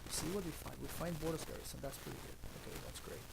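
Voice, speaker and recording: A man from Argentina in his thirties talks through his card game moves on a headset, thinking aloud in a relaxed way. His voice sits a little high and carries a gravelly edge. Steady noise runs under the stream audio. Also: quick pace. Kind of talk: casual card game commentary. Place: small room indoors.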